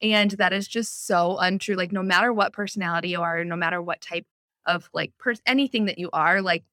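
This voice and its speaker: A young woman hosting a podcast in a casual chat, upbeat and encouraging with quick affirmations. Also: on the low side, bright, forceful tone, no echo, studio quality. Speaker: podcast host. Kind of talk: casual conversational interview.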